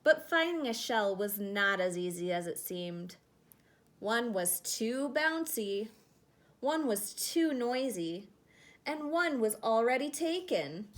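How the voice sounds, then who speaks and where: A woman reading a children's picture book aloud in an expressive storytelling style. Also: on the high side, slow pace. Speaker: storybook reader.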